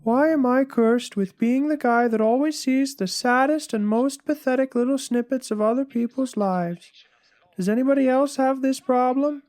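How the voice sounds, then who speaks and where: A woman's voice: sits high, normal speed, darkish tone, natural voice. A woman playing a humbled, put-upon oddball blogger, telling stories in a plaintive, conversational dramatic reading of a comic blog.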